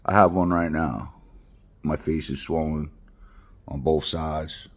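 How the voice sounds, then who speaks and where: Husky, very deep-voiced New man. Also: steady tone, slow pace. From Queens, New York. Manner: blunt, rambling, heartfelt street talk. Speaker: vlogger. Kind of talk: personal confessional monologue.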